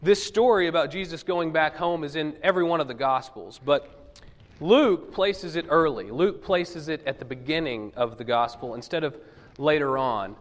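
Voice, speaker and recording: Pastor guy with a cold, husky voice, jokey chatty sermon, big reverby church. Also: a bit bright. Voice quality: lowered, husky voice from illness. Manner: joking, chatty asides to the congregation. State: sick with a cold. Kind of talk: conversational sermon. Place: church sanctuary. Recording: long hall reverb.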